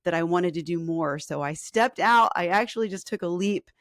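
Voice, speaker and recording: A woman in her forties, a fitness coach, talking casually on a live stream with a hoarse, scratchy voice and lots of ums. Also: lowish voice, moderate pace, no echo, clean studio sound. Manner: chatty and earnest, with filler ums and restarts. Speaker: fitness coach and podcast host.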